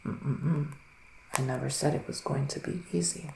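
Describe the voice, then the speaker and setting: Casting: a man to play a solemn psychic witch for ASMR, unhurried and soft-spoken, breathy pauses, recorded in a roomy space with faint noise. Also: fairly high pitch, fairly even intonation. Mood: solemn, foreboding. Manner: slow, deliberate, breathy pauses with sighs. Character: solemn psychic male witch giving a reading. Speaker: ASMR performer. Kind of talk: ASMR roleplay, soft-spoken and half-whispered.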